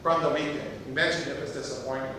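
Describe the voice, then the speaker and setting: A man's voice: fairly even intonation, fast talker, pushed and bright, natural voice. Distant, very reverberant stage recording: male actor as an eccentric, precise, pedantic stranger, earnest and theatrical. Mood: insistent, chatty. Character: eccentric, chatty, pedantic but kindly stranger at a bus stop. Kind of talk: live stage comedy acting. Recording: distant, echoey theatre hall, noisy rumble.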